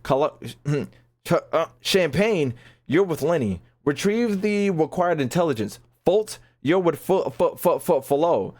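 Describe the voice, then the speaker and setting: A man's voice: fairly high pitch, lively intonation, measured pace, a little mellow. Slangy male game streamer, repetitive and profane. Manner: stumbles often when reading aloud; slangy, profane, repeats phrases emphatically. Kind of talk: casual livestream commentary, reading game text aloud and chatting.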